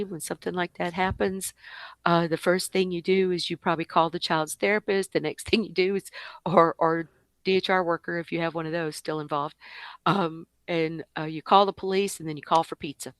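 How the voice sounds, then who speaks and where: A woman guest giving casual advice in an informal, halting way. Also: fairly low pitch, dry recording. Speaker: podcast guest.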